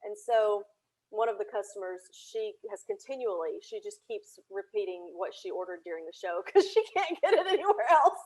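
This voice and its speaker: A woman from Tennessee speaking as an interview guest, telling anecdotes in a chatty, conversational and animated way. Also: medium pitch, normal speed, low-quality recording. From Tennessee, United States. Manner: chatty, animated anecdotes with laughter and reenacted dialogue. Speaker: interview guest, audio drama creator.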